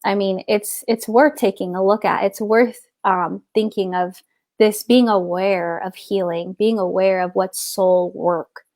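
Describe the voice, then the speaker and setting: Middle-aged woman guest, casual and meandering, mid pitch, dry close recording. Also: steady tone, unhurried, darkish tone, no room sound. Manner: rambling, searching for words with many restarts. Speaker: guest author. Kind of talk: casual spiritual conversation.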